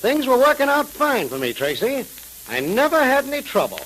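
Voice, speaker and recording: Smug crime boss, vintage radio serial: high, animated, insinuating threats, faint hiss. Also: male voice, brisk, full character voice, poor audio. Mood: menacing. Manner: sneering, sarcastic, insinuating. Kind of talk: 1930s radio drama acting. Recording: hissy 1930s radio recording.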